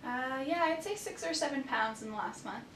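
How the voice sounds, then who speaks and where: High-voiced young woman patient, roomy sound. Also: expressive, moderate pace, fairly bright tone. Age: young adult. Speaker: patient in a clinical skills demonstration. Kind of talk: casual role-play answers. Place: small clinic exam room, indoors. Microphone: far camera microphone. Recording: echoey room, distant mic, faint rumble.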